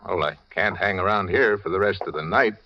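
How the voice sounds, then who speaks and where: A man's voice: low voice, a little fast. An actor playing a wary, desperate American man on the run, talking in terse hard-boiled style in 1940s radio drama. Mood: weary. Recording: dull, lo-fi old radio recording.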